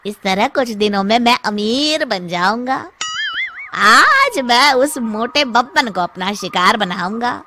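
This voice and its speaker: A woman voicing a vengeful, gloating male thief who poses as a ghost in a children's cartoon story, with menacing, drawn-out delivery. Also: on the high side, animated, over music.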